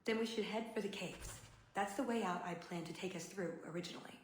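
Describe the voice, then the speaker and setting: A woman voicing a poised, self-assured female spy in a video game, speaking in a measured, wry, philosophical way. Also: medium pitch, a little hiss or hum, close to an everyday voice. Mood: resolute.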